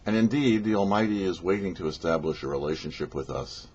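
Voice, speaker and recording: Male rabbi reading a scripted torah lesson, lowish husky voice, explains things step by step. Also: fairly quick, a little mellow, dull audio. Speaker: rabbi hosting a weekly Torah portion show. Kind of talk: scripted religious teaching.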